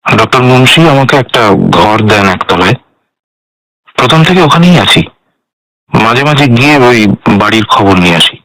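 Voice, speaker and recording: অভিনেতার কণ্ঠে সতর্ক, ভদ্র সেক্রেটারি, ধারালো গলা. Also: male voice, medium pitch, expressive, normal speed, long pauses, a bit bright, no room sound, close to an everyday voice, rough recording. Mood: guarded, polite. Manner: hesitant, careful, defensive answers. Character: guarded, polite male secretary under questioning. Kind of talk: radio drama acting.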